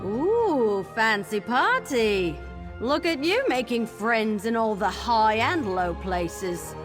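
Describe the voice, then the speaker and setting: Young female rogue, bubbly and cheeky game character, very lively intonation, faint music underneath. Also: a little high. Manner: chatty, playful, bubbly. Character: cheeky, eager young female apprentice thief. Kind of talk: scripted video game dialogue.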